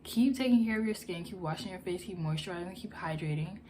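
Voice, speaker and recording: A young woman vlogging casually at home about her skincare routine, her voice raspy from allergies, chatty and self-mocking. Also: lowish voice, fast, warm tone, echoey room, recorded from a distance, slight background noise. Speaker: beauty vlogger. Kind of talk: casual skincare vlog chat. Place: home, at night.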